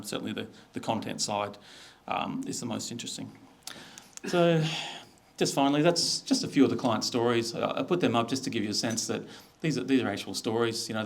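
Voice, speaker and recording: Australian male executive, slightly low voice, informal presenter explaining things in a conversational way.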